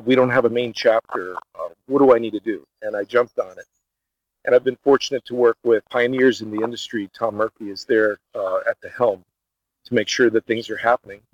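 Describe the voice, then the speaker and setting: A man raised in Brazil, Portuguese his first language, speaks in a halting way full of fillers. His voice is at an ordinary pitch. The recording is dry and slightly muffled. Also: no echo. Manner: hesitant, many filler uhs. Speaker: podcast guest. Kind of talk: casual interview talk. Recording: dull, dry lo-fi sound.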